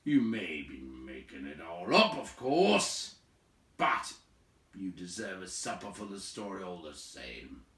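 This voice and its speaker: A man voicing a gruff, growling, impatient big man, a grudging host in a storybook, with emphatic, drawn-out phrasing. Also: deep voice, sing-song, fairly bright tone, exaggerated voice. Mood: grudgingly pleased. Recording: roomy echo, distant from mic.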